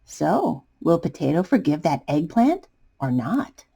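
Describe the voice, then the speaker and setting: A woman reading a picture book to children as an expressive storytime narrator. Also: deep voice, unhurried, gravelly, breathy edge.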